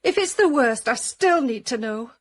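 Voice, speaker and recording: A genteel middle-aged Northern English wife in a radio comedy. Her voice is high and very expressive, swinging widely as she confides in a prim, anxious, hesitant way. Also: moderate pace. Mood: anxious, confiding. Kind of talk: comic radio drama acting.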